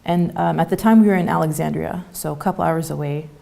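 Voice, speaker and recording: A woman in her thirties who grew up in Canada, speaking as an interview guest in a soft, halting way with many fillers. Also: deep voice, vocal fry. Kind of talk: conversational interview.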